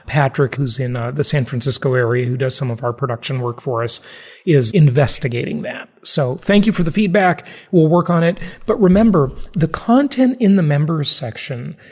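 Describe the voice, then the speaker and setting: A man with a gravelly, husky voice, talking conversationally as the host of an interview podcast. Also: on the high side, animated, normal speed, a little mellow.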